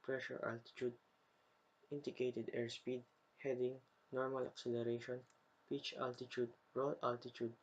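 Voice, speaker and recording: A young adult man with a mid-pitched voice narrates a slide presentation from a script. He reads it out carefully, one phrase at a time, in the manner of a student presenting. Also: gravelly, lo-fi sound.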